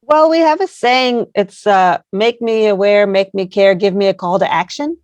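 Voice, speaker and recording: A woman's voice: mid-range voice, normal speed, a little mellow, no echo, highs cut off. A woman with an American accent, answering interview questions earnestly, with many fillers and rambling asides. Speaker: guest, placemaking founder.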